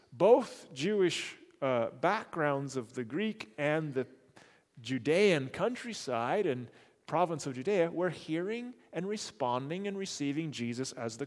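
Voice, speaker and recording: A man's voice: fairly high pitch, in a large hall. A man giving an unscripted Bible lesson, explaining patiently and repeating phrases for emphasis, with occasional fillers. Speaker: Bible teacher.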